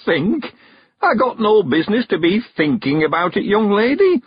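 A creaky old countryman, very high. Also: very animated, lo-fi sound, heavily acted. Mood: chatty. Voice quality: rustic dialect, quavery and high, drawn-out words. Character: spry ninety-one-year-old countryman, cheerful and stubborn.

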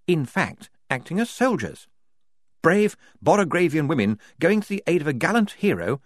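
A reasonable, pragmatic military officer, acted by a male narrator in a storybook. He argues briskly and courteously, in a lifted and animated pitch. The sound is very dry. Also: no room sound. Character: crisp, reasonable male army major, pragmatic legal officer.

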